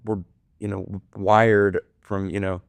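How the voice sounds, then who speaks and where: Low-voiced host, casual and rambling. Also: male voice, little pitch movement, takes it slowly, creaky, no room sound. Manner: casual, loose phrasing with many fillers. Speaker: podcast co-host. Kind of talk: podcast interview.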